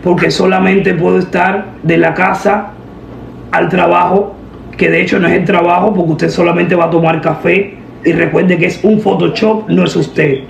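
A young woman making a deadpan prank phone call, giving instructions in an official-sounding manner. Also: on the low side, normal speed, gravelly, reverberant, noisy background. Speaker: prank caller, host. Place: indoor room at a table. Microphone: camera a short distance away.

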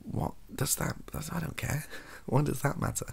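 A young British man, softly spoken, rambling hesitantly through an opinion monologue in a gravelly, husky voice and often trailing off. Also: on the high side, normal speed. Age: young adult. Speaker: ASMR YouTuber. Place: small home room, indoors.

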